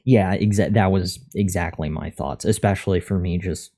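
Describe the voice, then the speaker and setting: Male live streamer, very low and relaxed, monotone, casual desk-mic chat while learning to code. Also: moderate pace, breathy edge. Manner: thinking aloud, reading out and answering chat. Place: untreated room at a computer. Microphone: USB condenser microphone at a desk.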